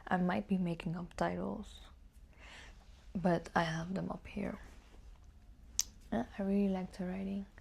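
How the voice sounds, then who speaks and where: A woman's voice: lowish voice, level tone, a little slow, creaky, pauses often, darkish tone, husky. A woman book vlogger chatting casually and informally to camera, musing aloud with some hesitation. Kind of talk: casual chat to camera, answering tag questions.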